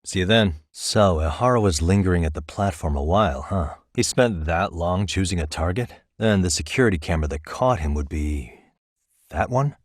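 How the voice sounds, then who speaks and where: An actor playing a composed, probing private investigator in a video game, speaking in a measured, casually wry way. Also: male voice, deep voice, dry recording, studio quality. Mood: casual, businesslike, then focused, analytical. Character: composed, probing male private investigator, adult, dryly confident. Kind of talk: naturalistic game dialogue acting.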